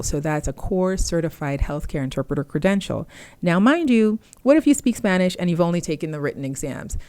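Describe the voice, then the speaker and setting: Woman trainer, native Haitian Creole speaker, deep voice, patient explainer, dry recording. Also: a little mellow, no room sound. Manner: explanatory, uses fillers, clear step-by-step. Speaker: medical interpreter trainer. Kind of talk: informal instructional talk.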